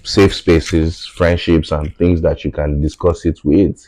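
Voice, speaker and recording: A man's voice: very deep voice, little pitch movement, unhurried, darkish tone, no echo. A man in his thirties with a Nigerian accent, a native Yoruba speaker, earnestly explaining his views as a podcast guest and often checking in with "right?". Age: 30s. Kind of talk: reflective conversational podcast talk.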